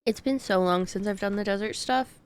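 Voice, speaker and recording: A woman's voice: mid-range voice, normal speed, smooth, dry recording. A woman livestreamer chatting casually while she plays a game, with playful exclamations and asides. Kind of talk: casual gaming stream chat.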